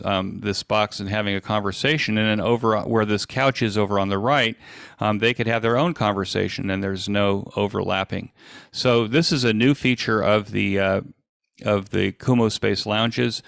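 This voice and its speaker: A man giving an informal live software demo as an event presenter, explaining things in a halting, stop-and-start way on an online video call. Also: lowish voice, gravelly, no room sound, studio quality.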